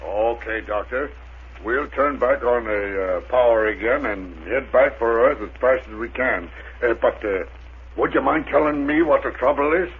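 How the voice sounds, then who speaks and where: An actor playing a dim, boastful rocket pilot sidekick in a 1930s radio serial, stammering and folksy. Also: male voice, medium pitch, normal speed, husky. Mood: earnest, self-important. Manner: bumbling, stammering, folksy drawl of bad grammar. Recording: noisy, tinny 1930s radio broadcast recording.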